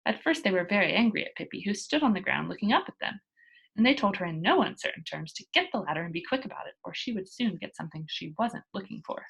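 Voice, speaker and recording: Animated, slightly husky woman reading aloud. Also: mid-range voice, quick pace, rough recording. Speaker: children's book reader. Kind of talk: expressive read-aloud storytelling.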